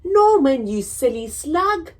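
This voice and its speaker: Woman as an excitable, high-pitched storybook snail. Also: slow pace, full character voice. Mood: cross, scolding. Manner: urgent, exclaiming. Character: excitable, fretful snails who scold and fuss. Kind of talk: children's picture book character voice.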